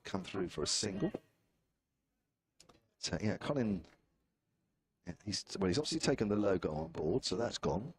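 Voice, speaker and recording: A man's voice: deep voice, very animated, normal speed, long pauses. Chatty man with a southern English accent. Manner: chatty, wry, self-deprecating. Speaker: cricket commentator. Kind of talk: live ball-by-ball cricket commentary. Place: outdoor commentary position at a cricket ground.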